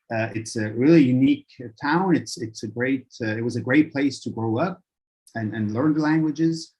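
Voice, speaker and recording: Guest professor from Switzerland, male, mid-pitched husky voice, relaxed conversational lecture. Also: poor audio. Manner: friendly, conversational, uses "right?". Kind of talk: presenting a webinar lecture.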